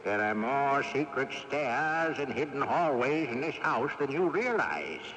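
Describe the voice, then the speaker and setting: Cantankerous old ghost in long reverb. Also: male voice, mid-range voice, very animated, measured pace, fairly bright tone. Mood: smug, teasing. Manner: theatrical, chuckling, stubborn. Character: cantankerous, sly old ghost of a great-grandfather. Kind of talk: radio mystery drama acting. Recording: off-air 1980s radio tape, reverberant, hissy.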